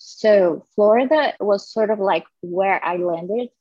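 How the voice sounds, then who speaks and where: A woman guest answering interview questions in a playful, deadpan way with many fillers. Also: mid-range voice, slow, dry recording, lo-fi sound.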